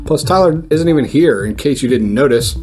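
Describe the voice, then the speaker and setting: Comedy role: male actor as cocksure, dim-witted newsman, mid-pitched, condescending, music underneath. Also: lively intonation, brisk, a little mellow, lightly acted. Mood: smug. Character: obtuse, smugly confident male radio news reporter. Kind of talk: comedic audio fiction, mock radio news.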